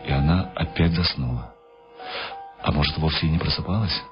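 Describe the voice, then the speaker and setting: A man's voice: very deep voice, fairly quick. A man reading scripted literary narration in the manner of a radio drama. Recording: dull lo-fi radio sound. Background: music behind the voice.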